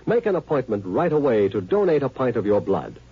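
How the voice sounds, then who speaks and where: A man's voice: mid-range voice, very animated, a little fast, breathy edge, dry recording. A man reading a formal, earnest public service appeal as a 1950s radio announcer. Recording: dull vintage radio recording.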